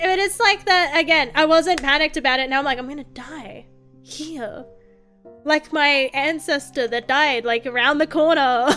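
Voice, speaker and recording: Young Australian woman streamer, high bright voice, rambling and swearing, faint music underneath. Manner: rambling, swears often, quick laughs. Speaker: vtuber streamer. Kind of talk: casual chat to viewers.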